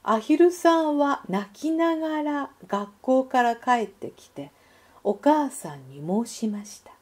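A woman reading a children's story as a scripted narration, with careful, expressive delivery. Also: sits high, takes it slowly.

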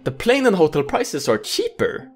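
Animated, emphatic sponsor read. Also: mid-range voice, normal speed, faint music behind. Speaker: YouTuber reading a sponsor message. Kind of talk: lively scripted ad read.

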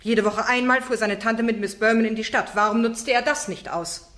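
Woman acting a sharp, eloquent female defence barrister. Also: a little high, fairly even intonation, normal speed, lightly acted. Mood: impassioned pleading. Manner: formal, insistent, rhetorical. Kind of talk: German radio play acting.

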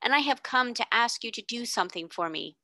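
A woman's voice: on the high side, expressive, a little fast, no room sound, studio quality, pitched above the performer's own voice. Sarah, a woman doing a kind earnest young girl. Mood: polite. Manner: gentle, polite and sincere.

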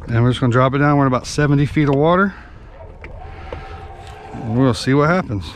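A man hosting a fishing vlog from an open boat at sea, giving lively play-by-play as fish bite. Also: mid-range voice, brisk. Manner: excited play-by-play while reeling in fish. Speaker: fishing guide and channel host. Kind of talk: casual fishing vlog commentary. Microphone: action camera on the boat. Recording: outdoor boat noise behind the voice. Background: boat engine and water noise.

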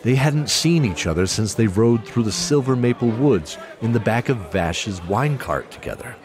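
A man's voice: mid-range voice, animated, normal speed. A man narrating a scripted fantasy story as the storyteller of an audio drama. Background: music.

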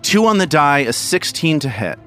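A man narrating a tabletop game as game master, with measured, dramatic delivery and dry humor. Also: a little high, very animated, a bit bright, faint music behind.

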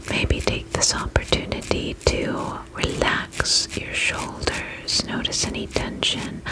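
A woman speaks entirely in a soft, breathy whisper. Her delivery is very slow and gentle, with soothing little repetitions. It sounds like an ASMR spa roleplay, full of personal attention and quiet reassurance. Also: poor audio.

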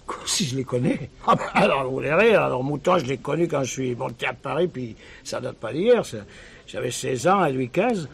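An actor playing an emotional, blunt middle-aged chef in a detective radio play, halting and colloquial. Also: male voice, mid-range voice, animated, creaky, fairly bright tone, breathy edge, full character voice. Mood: surprised, affable.

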